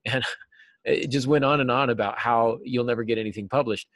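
A man's voice: mid-range voice, steady tone, fairly quick, a bit bright, no room sound. A middle-aged American man talking casually and thoughtfully as a guest in an interview. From United States. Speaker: interview guest, novelist and teacher. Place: small cluttered office, indoors. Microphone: video call.

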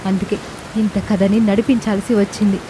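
A woman's voice: medium pitch, level tone, normal speed, close to an everyday voice. A woman playing an aggrieved, vengeful wife sparrow in a bird cartoon, melodramatic and pleading, over hissy noise. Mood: bitter, angry.

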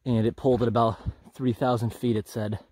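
Homem comentando enquanto anda na floresta, voz média, câmera na mão, jeito relaxado. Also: male voice, medium pitch, a little fast, slight background noise. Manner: relaxed, observant, thinking aloud. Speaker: hiker filming a walking tour. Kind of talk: casual walk-and-talk narration. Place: outdoors on a forest trail. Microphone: handheld camera.

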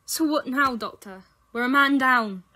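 Young student sidekick, female actor: forthright, dryly questioning, slightly high, crisp bright tone, audio drama. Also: measured pace, a little hiss or hum. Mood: sceptical. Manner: dry, questioning. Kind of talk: sci-fi audio drama acting.

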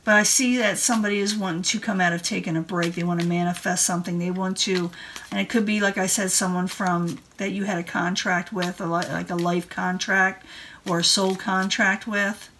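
A woman's voice: fairly low pitch, fairly even intonation, fairly quick, a bit bright. Woman reading tarot, noisy recording. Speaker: tarot reader. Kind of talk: casual monthly tarot card reading. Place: indoor room at a table.

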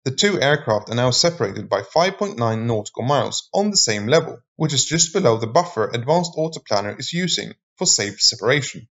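A man's voice: medium pitch, animated, brisk, few pauses, a bit bright. Dull-sounding male narrator, formal and scripted.

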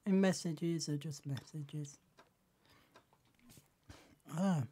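A hoarse woman with a lingering cold streams a game, clearing her throat between slow, drawn-out remarks. Her voice sits low and sounds dark and mellow. She mutters little halting asides to herself as she plays. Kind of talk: casual live game commentary.

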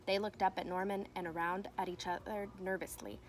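A young woman reading a children's storybook aloud like a teacher, with expressive, emphatic delivery. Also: mid-range voice, brisk. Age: young adult. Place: outdoors in a fenced backyard. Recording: noticeable outdoor ambient noise.

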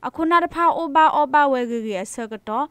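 A woman's voice: high-pitched, brisk, fairly bright tone, dry recording. A woman reading scripted news on the radio.

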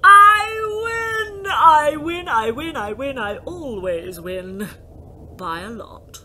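A woman's voice: sits high, expressive, slow pace, pushed and bright, heavily acted. A woman playing a gleeful, bossy, scheming devil in a comic audio drama, theatrical and gloating.

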